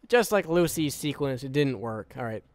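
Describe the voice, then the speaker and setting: A man's voice: mid-range voice, animated, moderate pace, no room sound. Tired man muttering game commentary. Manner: rambling, muttering to himself, repeats phrases. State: tired, voice wearing out. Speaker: gaming video creator. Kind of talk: casual let's-play commentary.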